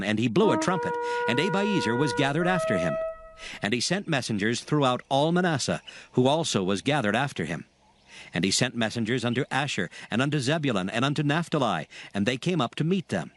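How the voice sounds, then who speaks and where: A man narrates a dramatized scripture story with solemn, measured delivery. His voice sits at a medium pitch, with music playing softly behind it. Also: animated.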